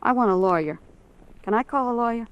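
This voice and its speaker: A woman's voice: a little high, fast talker, clear, resonant tone, muffled recording, lightly acted. An actress playing a sullen, evasive female theft suspect in an old radio crime drama, curt and defensive. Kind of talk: mid-century radio crime drama acting.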